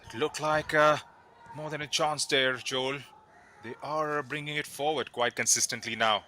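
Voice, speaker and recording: A man from India with an Indian accent, co-commentating live football in a measured, analytical way. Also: mid-range voice, fairly even intonation, pushed and bright, a little hiss or hum.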